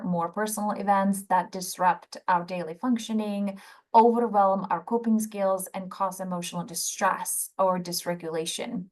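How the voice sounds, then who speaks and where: A middle-aged woman with a Czech accent, a psychologist giving a prepared webinar lecture in a measured, explanatory way, often starting with "so" and pausing on "um". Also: mid-range voice. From Czech Republic.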